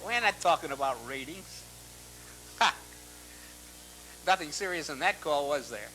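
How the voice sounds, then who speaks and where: A man with a bright, fairly high voice hosts a live call-in program. He sounds gruff and exasperated, brushing callers off curtly. The recording is noisy public-access TV audio with an audible buzz. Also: lively intonation, unhurried. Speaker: host of a call-in show.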